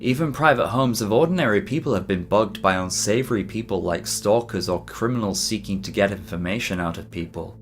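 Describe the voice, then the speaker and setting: A man reading a scripted mystery documentary narration for an online video in a measured, explanatory way. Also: fairly low pitch. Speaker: YouTube narrator. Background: background music.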